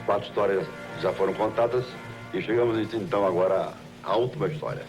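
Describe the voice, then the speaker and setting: An actor playing a telegram bearer who narrates stories in a scripted television drama. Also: male voice, on the low side, a little fast, over music, natural voice. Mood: solemn.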